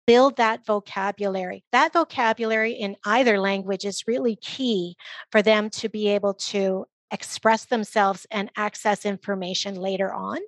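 A woman's voice: medium pitch, steady tone, moderate pace, no room sound. A woman giving organized, informative answers as an interview guest. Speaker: podcast guest, literacy program director.

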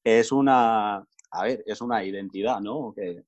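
A man's voice: lowish voice, a little slow, dull audio. English man with a Spain-Spanish accent. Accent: Spain Spanish, learned as English speaker. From England. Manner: rambling, self-correcting, easygoing. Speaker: guest. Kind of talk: casual chat.

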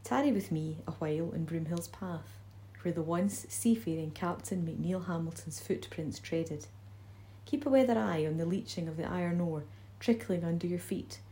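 A woman from Scotland reading a poem aloud in a measured, deliberate way. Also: on the low side, warm tone. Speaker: poetry reader. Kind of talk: poetry reading.

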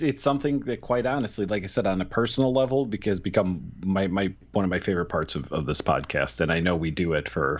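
Deep-voiced man chatting on a podcast. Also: highs cut off. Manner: rambling, self-correcting, candid. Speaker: podcast co-host. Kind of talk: casual podcast chat.

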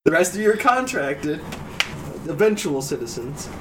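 A man's voice: on the high side, big pitch swings, moderate pace. A man narrating as game master, laughing often and stumbling over self-corrections. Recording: distant mic, noisy room.

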